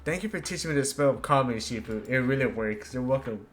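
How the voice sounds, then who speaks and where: A man streaming a game, reading its dialogue aloud haltingly and adding casual commentary. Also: medium pitch, animated, a bit bright, slight background noise. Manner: halting read-aloud with stumbles.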